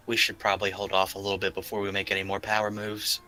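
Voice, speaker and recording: A man's voice: fairly low pitch, monotone, quick pace, pushed and bright, soft background music. A man playing a tabletop roleplaying game, chatting in a casual, slangy way.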